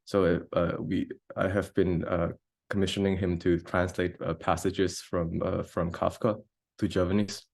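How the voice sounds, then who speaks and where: A man's voice: low voice, level tone, a little slow, creaky. A young man from Indonesia, a composer and grad student, introducing himself casually on a video call with many fillers and restarts. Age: young adult.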